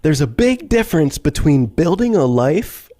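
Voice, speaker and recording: A man's voice: on the high side, very animated, moderate pace, dark tone. A man presenting on radio in a conversational, reflective scripted monologue style. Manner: reflective, wryly self-deprecating. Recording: music bed behind the voice. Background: light guitar music.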